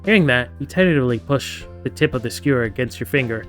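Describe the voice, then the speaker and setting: A man's voice: medium pitch, animated, fast talker, fairly bright tone, faint music behind. A man streaming a game, reading its story aloud with casual, playful, chatty asides to his viewers.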